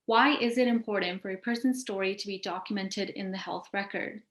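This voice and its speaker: A woman with a mid-pitched voice hosts a panel and reads out scripted questions. The sound is roomy, with an audible echo around her words. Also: a little fast, fairly bright tone. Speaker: host asking questions of a panel. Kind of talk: scripted interview questions, read out.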